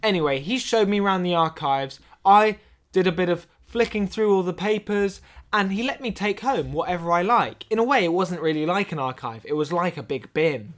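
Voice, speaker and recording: A man co-hosting a comedy podcast, bantering in a mock-earnest, deadpan way. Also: sits high, normal speed. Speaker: podcast co-host. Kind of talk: scripted comedy banter, mock film retrospective.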